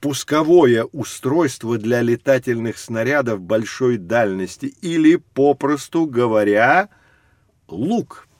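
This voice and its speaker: A man playing a knowledgeable guide in an educational audio drama, explaining things in a measured, instructive way. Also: mid-range voice, expressive, no room sound, studio quality. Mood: explanatory. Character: knowledgeable adult guide leading children through prehistory.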